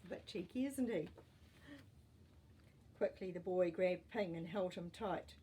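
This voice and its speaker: Slow-paced woman reading a storybook. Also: fairly low pitch, mellow, slightly rough. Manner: deliberate, dramatic pauses and emphasis. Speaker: storyteller reading a children's book. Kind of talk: expressive storybook narration with asides to young listeners.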